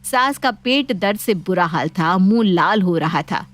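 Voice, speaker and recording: A woman's voice: on the low side, expressive, measured pace, fairly bright tone. A woman reading scripted story narration as a storyteller.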